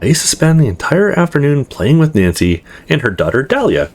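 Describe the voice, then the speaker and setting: Male let's player, mid-pitched and slightly rough, very animated story narration. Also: brisk. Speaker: let's play host reading a visual novel aloud. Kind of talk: expressive first-person story narration, read aloud.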